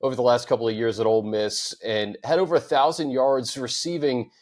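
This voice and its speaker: A man hosting a sports podcast, smooth and even, laying out points in steady lists. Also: mid-range voice, fairly quick. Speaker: podcast host.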